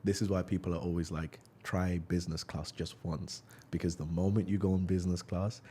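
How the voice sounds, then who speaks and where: Deep, steady motivational host. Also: male voice, fairly quick, slightly rough. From United Kingdom. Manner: encouraging, motivational, frequent "yeah" backchannels. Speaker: podcast host. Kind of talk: casual interview talk.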